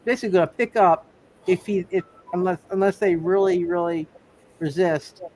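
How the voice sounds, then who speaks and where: Male game master, high voice, repetitive improvised delivery, slightly noisy setting. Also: steady tone, normal speed, darkish tone. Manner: repeats words and phrases while thinking. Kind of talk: improvised narration of a live tabletop roleplaying game.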